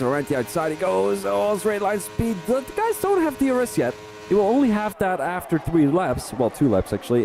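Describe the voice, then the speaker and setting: A man from Belgium, doing live race commentary for a sim racing league, rambling in chatty asides and rising to excited calls on overtakes. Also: high voice.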